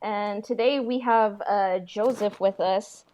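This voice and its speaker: A woman's voice: medium pitch, fairly even intonation, slow pace, a little mellow. A woman co-hosting a podcast in casual chat, speaking very informally and peppering her talk with "like". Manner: informal, frequent "like". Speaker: podcast co-host.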